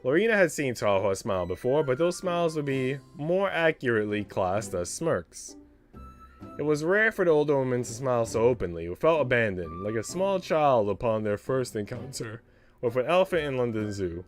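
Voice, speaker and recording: A man's voice: mid-range voice, very animated, moderate pace. A man streaming a visual novel, reading its text aloud and adding casual asides, with music playing behind him.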